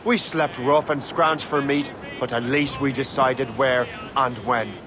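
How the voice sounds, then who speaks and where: A man's voice: medium pitch, fairly even intonation, a little slow, a crowd in the background, close to an everyday voice. A man playing a cocky, impatient young assassin and ship captain in a video game, with a defiant, sardonic manner. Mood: wry, resentful. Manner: defiant, sardonic, commanding at sea. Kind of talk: dramatic game voice acting. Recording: wind and sea noise behind.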